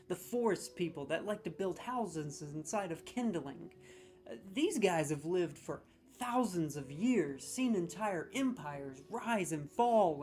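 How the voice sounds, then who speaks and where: A man hosting a comedic video, ranting sarcastically with mock outrage as he scores game voice lines. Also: on the high side.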